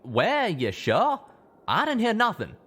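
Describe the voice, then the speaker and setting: A man's voice: high voice, wildly swooping pitch, takes it slowly, a bit bright, slightly rough, voice pushed up, exaggerated voice. A man voicing a blunt, loyal male pegasus pony with a folksy drawl. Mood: casual, startled. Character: male cowboy-like pegasus pony, plain-spoken loyal friend.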